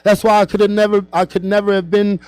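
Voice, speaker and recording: A man's voice: high voice, flat delivery, fast, darkish tone, smooth, no room sound. A man co-hosting a radio talk show, rambling, cursing freely and repeating himself. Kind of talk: casual chat.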